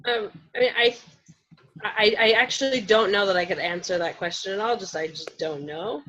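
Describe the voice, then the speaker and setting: A woman's voice: mid-range voice, moderate pace, sharp tone. A woman on a panel, speaking in thoughtful, unscripted discussion. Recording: dull lo-fi audio.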